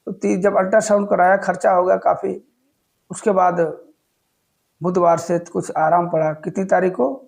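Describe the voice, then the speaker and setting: A man's voice: high voice, little pitch movement, moderate pace, gravelly, a little mellow. A man with a sore throat telling an earnest, humble story in a casual devotional talk. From Uttar Pradesh, India. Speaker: devotional video host.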